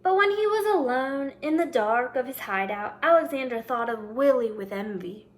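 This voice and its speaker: A woman's voice: high-pitched, animated, moderate pace. A woman reading a picture book aloud to children like a teacher, commenting and prompting them with questions.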